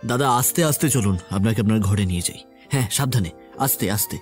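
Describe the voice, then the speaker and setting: A man's voice: fairly low pitch, fast talker, soft background music, natural voice. A man acting a shy, hesitant, solicitous brother-in-law in an audio drama. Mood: careful, helpful. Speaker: voice actor.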